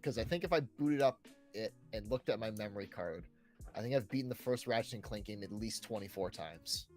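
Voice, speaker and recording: A man's voice: lowish voice, fairly quick. Enthusiastic young-sounding man over background music. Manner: enthusiastic, peppers talk with "right" and "like". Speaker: podcast co-host. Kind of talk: casual chat about personal favourite games. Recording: background music under the talk.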